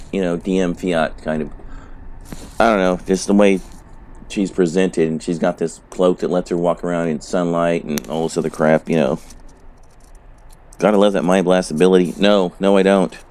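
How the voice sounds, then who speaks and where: A man's voice: low voice, darkish tone, a little hiss or hum. A man with a sore throat giving laid-back, profane commentary while playing a game. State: sore throat, a little hoarse. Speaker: let's play host. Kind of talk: casual gameplay commentary.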